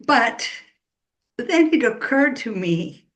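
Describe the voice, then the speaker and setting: A woman author speaking in a slow, measured way in an interview, pausing as she explains. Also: a little high, sing-song. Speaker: author guest. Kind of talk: relaxed interview answers.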